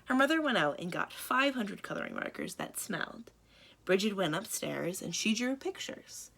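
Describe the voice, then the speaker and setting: A woman reading a children's picture book aloud expressively as a storyteller, with dramatic emphasis and drawn-out words. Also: medium pitch, sing-song, vocal fry, a bit bright. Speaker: library storyteller.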